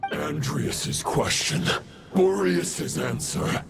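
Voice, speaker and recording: An actor playing a proud, chivalrous, menacing knight in a video game, speaking formally and gravely with a gravelly voice. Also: male voice, fairly high pitch, lively intonation, full character voice. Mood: fierce, commanding. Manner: formal, archaic, measured speech. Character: solemn, chivalrous knight duelist, grown man, proud and menacing. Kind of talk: dramatic scripted game dialogue. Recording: loud music under the voice.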